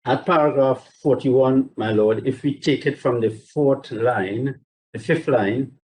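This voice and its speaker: A man speaking as a barrister in a formal, measured and courteous legal submission, reading carefully from judgments over a video link. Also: medium pitch, a little mellow, rough recording. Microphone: video call.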